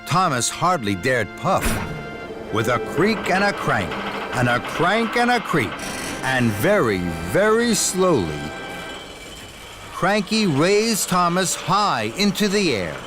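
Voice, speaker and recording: A male narrator reads a children's story with deliberate, expressive timing. His voice is fairly high and lively in intonation, with music clearly audible underneath.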